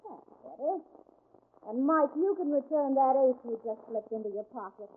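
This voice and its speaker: Sardonic female card sharp, brassy, highish voice, mock-sweet bossiness, 1940s radio drama, phone-like old recording. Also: lively intonation, moderate pace. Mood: taunting. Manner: mock-sweet, taunting, bossy. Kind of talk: 1940s radio mystery acting. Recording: muffled, narrow-band 1940s radio recording.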